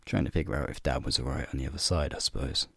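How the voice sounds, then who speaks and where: A man playing a flirty, teasing werewolf guide in an ASMR roleplay, talking softly and playfully to the listener in a gravelly, breathy voice. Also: rapid-fire, no room sound, full character voice. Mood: reflective, gentle. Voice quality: deep, gravelly, breathy low voice. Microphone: close microphone.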